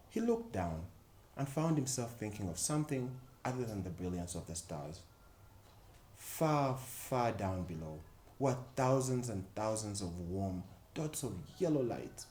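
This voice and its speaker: Mellow, animated male storyteller in echoey room. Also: lowish voice. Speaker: volunteer storyteller at a children's book club. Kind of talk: expressive children's story reading. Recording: echoey room with faint background noise.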